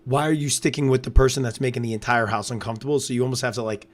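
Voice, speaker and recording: Hoarse male co-host, raspy and loud, close on the mic, relaxed live-stream chatter. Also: mid-range voice, very fast. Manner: relaxed, reacts to live chat. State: hoarse after losing his voice. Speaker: podcast co-host. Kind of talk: casual live watch-along commentary. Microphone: close podcast microphone.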